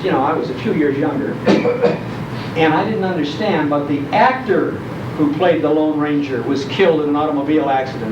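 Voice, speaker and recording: An older American man from Chicago giving a humorous, nostalgic storytelling talk to a live audience. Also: a little high. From Chicago, United States. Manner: storytelling, rhetorical questions, humorous. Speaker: public speaker on old-time radio. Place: indoor program hall before an audience. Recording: echoey hall, distant mic, noisy, dull.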